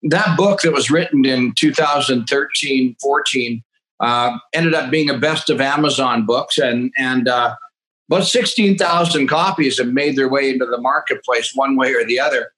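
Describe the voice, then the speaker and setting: A man's voice: medium pitch, normal speed, fairly bright tone, low-quality recording. A man in his fifties with a Canadian accent, explaining things conversationally as a podcast guest. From northeastern Alberta, Canada. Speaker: podcast guest, agriculture entrepreneur.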